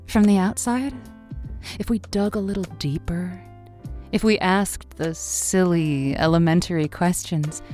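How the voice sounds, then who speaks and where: A woman's voice: mid-range voice, a little mellow, natural voice. An actress playing an evasive, playful female late-night radio love advice host in an audio drama, with a theatrical, musing delivery.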